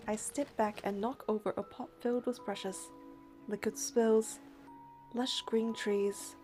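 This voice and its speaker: A woman's voice: medium pitch, natural voice. A woman voicing a practical, skeptical young heroine in an English-dubbed game, dry and matter-of-fact as she narrates and talks. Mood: soft, observing.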